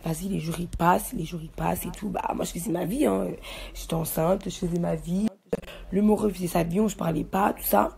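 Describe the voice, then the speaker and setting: A woman's voice: fairly low pitch, fairly even intonation, a little fast, vocal fry, mellow. A young woman giving a casual, slangy rant to her followers, defiant and emphatic, repeating herself to make her point. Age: young adult. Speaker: social media personality telling her side of a story.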